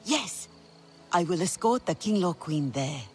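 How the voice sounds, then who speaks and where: A determined alien clan leader from a video game, her voice a little low but rising and falling a lot. She sounds resolute and encouraging, with faint night noise behind her. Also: animated, measured pace, a little mellow. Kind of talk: video game cutscene acting.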